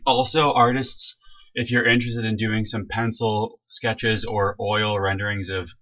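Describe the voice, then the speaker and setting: A young man trades goofy, deadpan jokes as a podcast co-host. His voice sits a little low but sounds bright and forceful. The audio is dull and lo-fi. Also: a little slow. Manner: goofy, joking deadpan asides. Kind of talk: casual chat. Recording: dull lo-fi recording.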